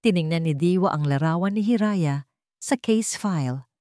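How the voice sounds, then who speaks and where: A woman's voice: lowish voice, lively intonation, normal speed, warm tone, dry recording, clean studio sound. A woman with a Filipino accent narrating a scripted dramatic story like an audiobook.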